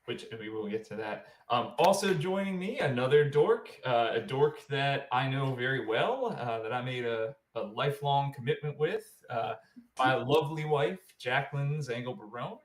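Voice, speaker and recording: A man's voice: medium pitch, expressive, normal speed. A man hosting a casual podcast, chatting in an informal way. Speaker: podcast host. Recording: roomy, echoing room sound.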